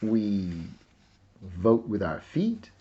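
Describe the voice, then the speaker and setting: A playful, kindly aunt from a storybook, as a man reads her aloud, unhurried and encouraging. The voice is mellow, on a slightly dull recording. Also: fairly low pitch, sing-song, heavily acted. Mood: explaining.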